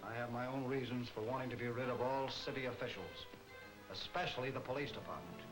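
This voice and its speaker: A man with an American accent playing a soft-spoken, formal, coldly vengeful criminal mastermind in a 1940s movie serial. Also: medium pitch, steady tone, fairly quick, close to an everyday voice, low-quality recording. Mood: cold, scheming. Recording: old film soundtrack, music behind.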